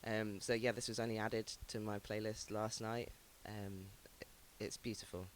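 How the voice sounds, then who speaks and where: Male host, ill and hoarse-low, rambly with lots of ums, a little hiss behind. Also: little pitch movement, normal speed. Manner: rambling, hesitant, frequent ums. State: ill, voice lowered by sickness. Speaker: music show host. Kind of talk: casual chat introducing songs.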